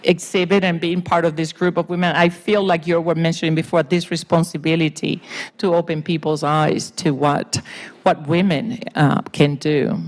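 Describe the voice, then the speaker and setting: A woman's voice: sits low, flat delivery, moderate pace. A middle-aged woman from Puerto Rico with a Spanish accent, a panelist telling stories earnestly with some hesitations. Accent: Puerto Rican Spanish. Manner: earnest, storytelling, with frequent hesitations. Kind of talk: panel discussion.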